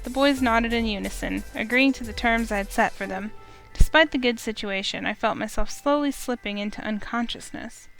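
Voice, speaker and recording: A woman's voice: fairly high pitch, moderate pace, creaky, a bit bright, soft background music, low-quality recording. A woman streamer reading a visual novel aloud, narrating expressively in first person.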